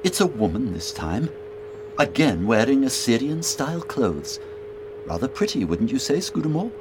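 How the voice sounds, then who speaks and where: An actor playing an observant, commanding and concerned gentleman in an audio drama, speaking precisely with authority. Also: medium pitch, big pitch swings, moderate pace, noisy background. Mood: observing, intrigued.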